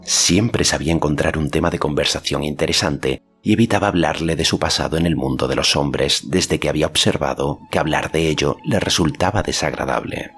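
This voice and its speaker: A man narrating a fantasy audiobook in a measured, literary storytelling manner. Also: extremely low pitch, fairly bright tone, a little hiss or hum.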